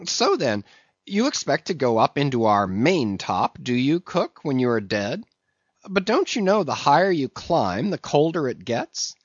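A man voicing a brash, bossy whaling ship's mate in a storybook, teasing and interrogating loudly. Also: mid-range voice, big pitch swings, measured pace, husky, no room sound, lo-fi sound, voice pushed up. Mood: mocking. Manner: loud, teasing, interrogating, swooping exclamations.

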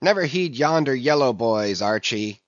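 A man voicing a cheerful, eager little whaling mate in a sea-novel audiobook, calling excitedly to his boat. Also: mid-range voice, normal speed, dry recording, muffled recording, natural voice.

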